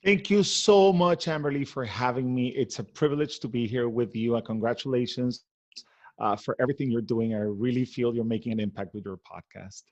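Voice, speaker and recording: A man from Venezuela, a guest in an interview, telling his story in an animated way with many fillers. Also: medium pitch, a little fast, highs cut off. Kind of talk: conversational interview.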